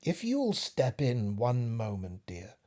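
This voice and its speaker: A man voicing a cunning male spider from a storybook poem, coaxing and flattering with oily politeness. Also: medium pitch, takes it slowly.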